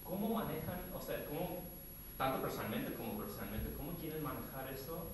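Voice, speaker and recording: A hesitant man whose first language is not Spanish asks the panel a question, halting and restarting as he searches for words. He is picked up from far off in a big, echoing hall, with a steady noise behind him. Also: mid-range voice. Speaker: audience member asking a question. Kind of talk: casual spoken question at a panel. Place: large echoey hall. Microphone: distant room mic.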